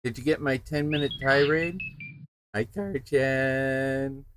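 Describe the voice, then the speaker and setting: Unhurried, rambling male game streamer. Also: medium pitch, steady tone, a bit bright, slight background noise. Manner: chatty, digressive storytelling. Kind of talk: casual rambling chat while streaming a game.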